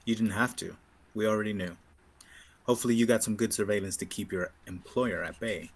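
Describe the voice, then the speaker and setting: A man reading a composed, persuasive rebel officer with a husky voice in a sci-fi table read. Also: lowish voice, steady tone, fairly quick. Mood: friendly, dry.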